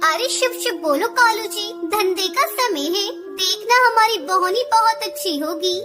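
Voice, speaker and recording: Loud music runs under a woman playing a gentle cartoon mother bird. Her voice is extremely high, earnest and expressive. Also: unhurried. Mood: cheerful, earnest. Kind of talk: cartoon dubbing.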